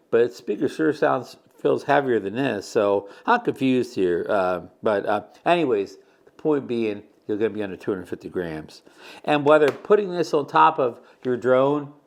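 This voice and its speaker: A man giving a casual product demo as a video host, talking in a hesitant, repetitive, rambling way. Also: mid-range voice.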